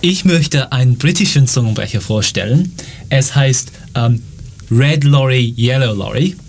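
A man's voice: medium pitch, a little slow, creaky, darkish tone. A man hosting a radio show, presenting in a partly improvised way.

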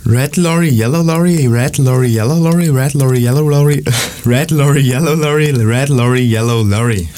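A man's voice: mid-range voice, moderate pace, darkish tone, a little hiss or hum. A man taking part in a radio show, talking casually.

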